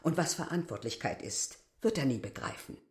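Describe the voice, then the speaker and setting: A woman's voice: low voice, sing-song, moderate pace. A middle-aged innkeeper woman in a radio drama. She is proud and self-important, lecturing in formal, emphatic phrases with a weary edge. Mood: proud, aggrieved. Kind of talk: literary radio drama acting.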